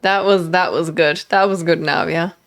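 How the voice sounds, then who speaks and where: A woman's voice: fairly low pitch, steady tone, normal speed, background noise. A woman streamer giving informal, gushing live reactions as she plays a game.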